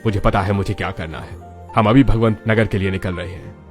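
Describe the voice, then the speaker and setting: A man's voice: on the low side, steady tone, a little fast, soft background music, natural voice. A man playing a dutiful middle-aged rich father in a dramatized moral story, serious, resolute and respectful.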